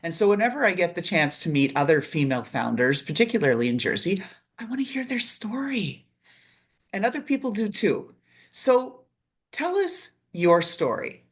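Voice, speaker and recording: A woman's voice: lowish voice, very animated, normal speed, husky. A woman from Canada with a Canadian accent, hosting an interview show in an upbeat, chatty way. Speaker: podcast host. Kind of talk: casual interview chat.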